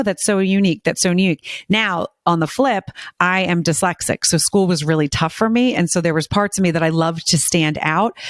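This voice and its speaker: A woman's voice: on the low side, dry recording, clean studio sound. A woman hosting a podcast, chatty and enthusiastic as she introduces and interviews a guest. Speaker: podcast host.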